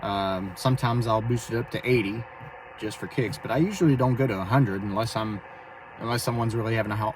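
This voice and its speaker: A man talking casually and haltingly as he explains his amateur radio hobby in a vlog from inside a vehicle. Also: lowish voice. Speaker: amateur radio operator and video host. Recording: distant mic, clear vehicle and radio noise.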